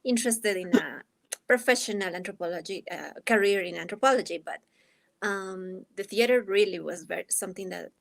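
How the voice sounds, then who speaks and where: A woman's voice: mid-range voice, fairly quick, vocal fry. A woman from Ecuador with an Ecuadorian Spanish accent, answering interview questions in a reflective, hesitant way as she searches for words. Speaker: guest, dance artist and anthropologist.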